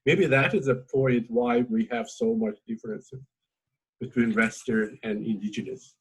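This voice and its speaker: A man whose native tongue is Azeri, speaking English with a slightly husky, breathy tone. He feels his way through each thought, stopping and restarting often. Relaxed, informal academic conversation. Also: mid-range voice, moderate pace, low-quality recording. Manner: hesitant, many fillers and restarts. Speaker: academic host.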